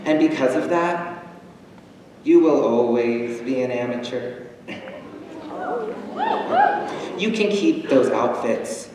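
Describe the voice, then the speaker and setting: A man's voice: mid-range voice, animated, darkish tone, natural voice. Echoey stage monologue, young man as a defiant drag queen. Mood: scornful, proud. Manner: dramatic pauses, cutting, deliberate delivery. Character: defiant, wounded, proud drag queen scolding a rival. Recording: distant, noisy, very echoey auditorium recording.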